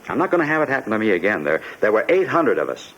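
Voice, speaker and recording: A man's voice: fairly low pitch, big pitch swings, rapid-fire, fairly bright tone. An actor playing a desperate, bitter immigrant man haunted by his past, insistent and wrought up, in a 1950s radio drama. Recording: dull, noisy 1950s radio recording.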